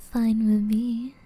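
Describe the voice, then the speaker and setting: Slow, breathy, dark-voiced seductive vampire woman acting. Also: a little high, full character voice, poor audio. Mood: seductive, teasing. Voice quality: breathy, often dropping into whispers. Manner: slow, teasing, old-fashioned endearments. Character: flirtatious, seductive female vampire, possessive and teasing. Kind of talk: intimate ASMR roleplay.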